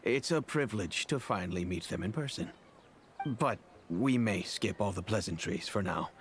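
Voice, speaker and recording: Guy acting solemn older-ish rich dad in a game, low formal voice, bit of background noise. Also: expressive, moderate pace, lightly acted. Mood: courteous. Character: grave, courteous middle-aged male merchant master, fatherly.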